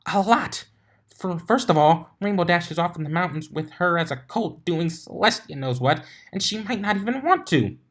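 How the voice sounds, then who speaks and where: A man's voice: sits high, expressive, moderate pace, voice pushed up. A man voicing an anxious, frustrated young female pony scholar who explains things fretfully in a story reading. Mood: worried.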